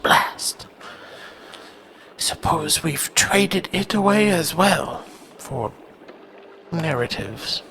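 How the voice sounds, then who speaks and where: A man playing a languid, pampered master in a sci-fi audio drama, halting and self-indulgent as he speaks. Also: fairly high pitch, lively intonation, noisy background, heavily acted. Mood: disappointed, petulant.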